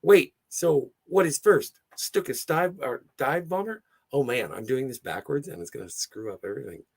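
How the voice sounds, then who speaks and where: A hoarse man hosting a casual livestream, rambling and easily sidetracked as he chats and reads out viewer comments. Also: mid-range voice, lively intonation, normal speed. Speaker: livestream host.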